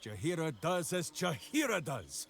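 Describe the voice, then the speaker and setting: Slow, booming-hearted berserker hero. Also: male voice, big pitch swings, creaky, heavily acted. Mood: proud, boisterous. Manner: grandiose, third-person heroic declarations with odd phrasing. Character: hearty, bombastic male berserker ranger, earnest and simple-minded. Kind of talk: fantasy video game acting. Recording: music behind the voice.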